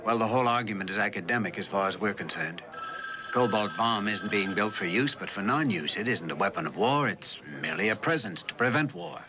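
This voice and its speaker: An actor playing a guarded, dryly sardonic scientist with an American accent in a mid-century television drama, speaking in a measured, hesitant way. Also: male voice, on the low side, lively intonation, a crowd in the background, natural voice. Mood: detached, reasoning. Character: guarded, dry-witted male scientist running a weapons project. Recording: noisy old television soundtrack.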